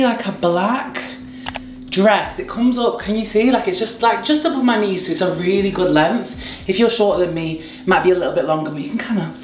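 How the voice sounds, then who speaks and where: A young woman with a hoarse, croaky voice from a sore throat, chatting playfully through a clothing try-on haul. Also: lowish voice, a little hiss or hum. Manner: chatty, bubbly, playful asides. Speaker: fashion vlogger. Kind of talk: casual try-on haul chat. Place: bright indoor room at home. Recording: echoey room.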